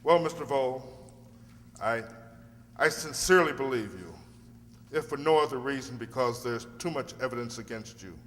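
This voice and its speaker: Guy doing earnest worried defense lawyer, average pitch, radio drama, echoey hall. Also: moderate pace, a bit bright, lightly acted. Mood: concerned. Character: earnest, worried defense lawyer, male, reassuring his client. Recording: noisy recording with long hall reverb.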